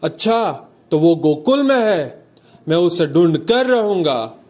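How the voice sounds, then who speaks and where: A man plays a menacing tyrant king in a children's puppet show, declaiming threats in a fairly high voice. The recording is noisy, like a live school show. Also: sing-song, takes it slowly.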